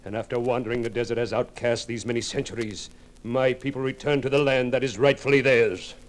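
A man acts a would-be desert king in vintage radio style. His voice is of medium pitch, and every sentence is measured, formal and grandly menacing. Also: level tone, lightly acted. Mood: grandiose, commanding. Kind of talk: old-time radio villain acting.

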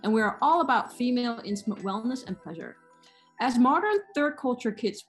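A woman co-hosting a podcast, smoothly reading a prepared introduction of the guest. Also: on the high side. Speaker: podcast co-host.

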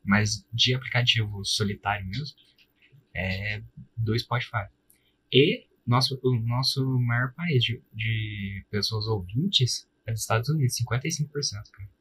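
A man's voice: fairly low pitch, unhurried, sharp tone, a little hiss or hum, low-quality recording. A man with a Brazilian accent hosting a podcast, chatting casually in informal, slangy speech. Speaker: podcast host.

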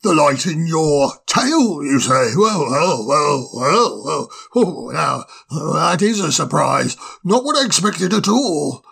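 Storybook owl, old male professor, genial and self-important, low gravelly husk, as a reader puts him on. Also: sing-song, slow, few pauses, a bit bright, heavily acted. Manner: chuckling, hesitant, fond of "my dear boy". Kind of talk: storybook reading.

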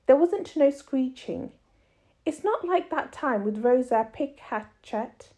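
A woman voicing an anxious, sharp-tongued, determined mother in a storybook, with emphatic, homely ungrammatical speech. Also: high voice, lively intonation, takes it slowly. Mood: firm, persuading. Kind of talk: storybook read-aloud character voice.

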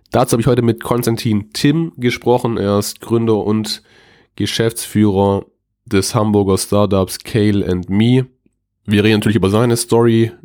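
A man hosting a business podcast, relaxed and chatty, speaking while he has a slight cold and leaning on filler sounds as he asks questions. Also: lowish voice. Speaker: podcast host. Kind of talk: casual interview hosting.

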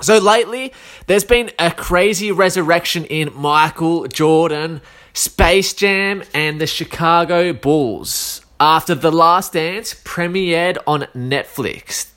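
A man's voice: on the high side, takes it slowly, fairly bright tone. A man in his thirties with an Australian accent, chatting casually on his own, a little sick, with a slangy, rambling delivery full of fillers. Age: 30s. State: a bit sick, coughing. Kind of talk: casual solo chat.

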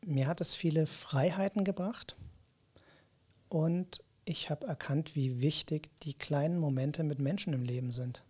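A man with a mellow, warm voice, pitched a little higher than most men, answers as a guest in a casual interview. He explains things calmly and in a measured way. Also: husky. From Germany. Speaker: media coach, interview guest.

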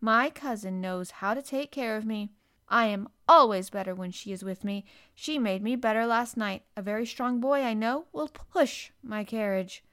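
A woman's voice: high voice, big pitch swings, moderate pace, gravelly, few pauses, darkish tone, voice pushed up, exaggerated voice. A woman voicing an imperious, sickly young boy in a storybook, with grand, commanding announcements.

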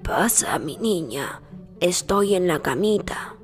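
A woman voicing a sly male wolf in a children's story, sweet-talking with drawn-out, falsely polite words. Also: on the low side, animated. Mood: coaxing, menacing. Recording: long reverb with music behind.

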